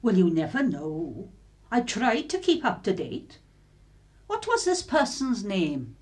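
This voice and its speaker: A woman's voice: medium pitch, wildly swooping pitch, slow pace, a little mellow. An actress playing a nosy, chatty working-class English woman who puts on airs, in a radio drama. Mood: sociable, genteel. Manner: gossipy, colloquial, affected politeness.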